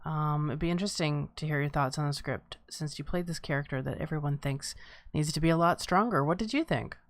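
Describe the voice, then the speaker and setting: A woman's voice: sits low, brisk, no room sound. A woman hosting an informal live script table read, moderating feedback from the readers.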